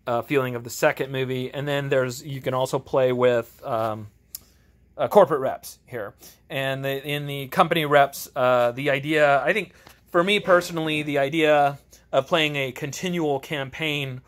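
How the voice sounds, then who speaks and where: A man's voice: mid-range voice, a bit bright. A man giving a casual, off-the-cuff game review as an amateur host, rambling, enthusiastic and self-deprecating. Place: home garage.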